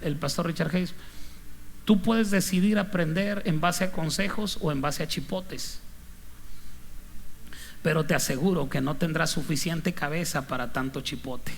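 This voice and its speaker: A man's voice: high voice, vocal fry, fairly bright tone, a little hiss or hum. A man with a northern Mexican accent preaching as a pastor in a conversational, anecdotal style with punchy, clipped delivery.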